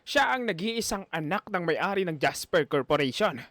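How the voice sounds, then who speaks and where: Man doing a male school principal, audio drama, fairly high voice, stern, formal, suspicious, dry. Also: lively intonation, moderate pace, fairly bright tone, dry recording. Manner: formal, admonishing.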